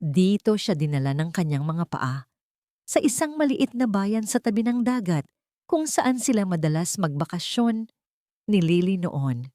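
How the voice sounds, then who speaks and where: A woman narrating a scripted audiobook drama in a measured, emotional way. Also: lowish voice, expressive, dark tone, no room sound, clean studio sound.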